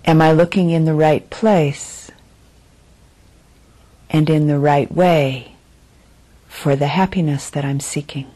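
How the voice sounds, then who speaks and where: A woman meditation teacher with a low, mellow voice gives a guided dharma talk. She phrases things deliberately and leaves frequent pauses. Her delivery is very unhurried. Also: steady tone.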